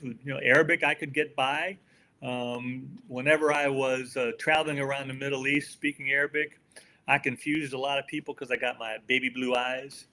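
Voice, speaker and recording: Older American male interview guest, mid-pitched voice, folksy and emphatic delivery. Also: bright, forceful tone, poor audio. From United States. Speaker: podcast guest, author. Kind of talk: conversational interview answers.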